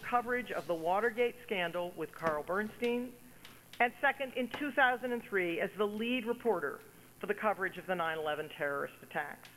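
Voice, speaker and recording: Bright-voiced woman speaking in a reverberant hall. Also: on the high side. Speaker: university provost introducing a speaker. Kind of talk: formal prepared introduction speech. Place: large hall. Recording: hall reverb from an event venue.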